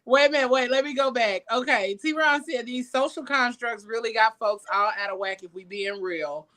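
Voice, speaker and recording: A woman co-hosting a casual podcast chat, trading teasing, quick banter. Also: fairly high pitch, a bit bright. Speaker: podcast co-host.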